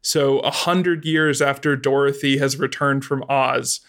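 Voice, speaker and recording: Male podcast host, mid-pitched, enthusiastic and effusive, conversational delivery. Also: steady tone, unhurried, gravelly, fairly bright tone.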